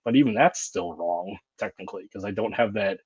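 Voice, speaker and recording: A man's voice: fairly low pitch, a little fast, darkish tone, breathy edge, no echo. A man hosting a podcast in casual conversation, rambling and reflective, with many fillers and restarts. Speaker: podcast host. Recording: dull, dry lo-fi sound.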